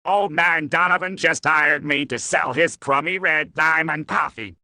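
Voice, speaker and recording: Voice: mid-range voice, unhurried, pushed and bright. An actor voicing a grumpy, stubborn puppet sourpuss in a comedic ad sketch, curt and sour. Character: grumpy, stubborn puppet sourpuss who refuses every pitch.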